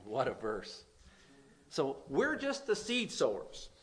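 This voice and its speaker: A man with a nasal voice preaching a sermon, reading scripture aloud and swinging between loud emphasis and quiet asides, with an occasional stutter. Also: mid-range voice, wildly swooping pitch, slow. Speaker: pastor.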